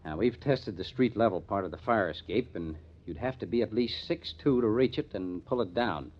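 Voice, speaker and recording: An actor playing a matter-of-fact police detective captain in an old-time radio crime drama, plainspoken and emphatic, on a muffled vintage recording. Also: male voice, on the low side, moderate pace, natural voice. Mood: businesslike, emphatic.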